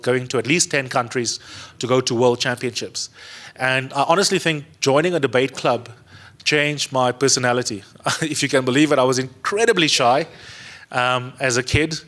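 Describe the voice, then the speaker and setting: A man's voice: mid-range voice, a bit bright. A man in his thirties with a South African accent, whose first language is Afrikaans, speaking earnestly on a panel to a young audience in an auditorium, holding a handheld mic and repeating words for stress. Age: 30s. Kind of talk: speech to a youth audience. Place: museum auditorium with a seated audience.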